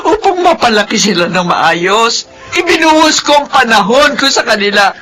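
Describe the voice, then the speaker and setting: A woman's voice: on the high side, animated, moderate pace, a bit bright, soft background music. An actress playing an anguished, despairing middle-aged Filipino mother in a radio drama, pleading with great emotion. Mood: anguished, tearful.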